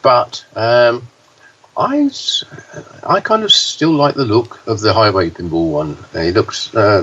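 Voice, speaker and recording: A man with a low, hoarse voice chats on a podcast, halting and restarting as he goes. He sounds set back from the mic, and the sound is dull with a faint hiss. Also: recorded from a distance. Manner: halting, many fillers and restarts. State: hoarse, deeper voice than usual. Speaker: podcast co-host, pinball news reporter. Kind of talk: casual podcast chat.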